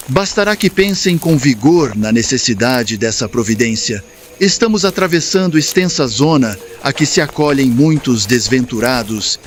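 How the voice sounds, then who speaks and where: A wise male spirit mentor played by a man speaks. He is calm, solemn and instructive, with measured formal phrasing for an audiobook story. A light layer of ambient noise sits behind him. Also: medium pitch, lightly acted. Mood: cautious, instructive. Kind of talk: scripted audiobook dialogue, lecturing.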